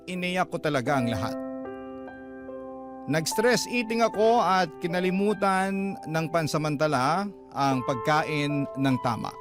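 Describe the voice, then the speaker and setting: A man with a Filipino accent reading a letter as a radio host, in emotive, dramatic scripted narration phrase by phrase. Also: fairly high pitch.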